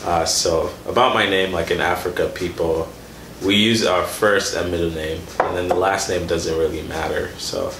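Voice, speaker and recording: A man's voice: low voice, steady tone, normal speed, fairly bright tone. A man in his twenties from Sudan and Kenya, chatting informally as an interview guest and telling personal stories in casual, slangy speech. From born in Sudan, raised in Kenya. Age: 20s. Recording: echoey room with some background noise.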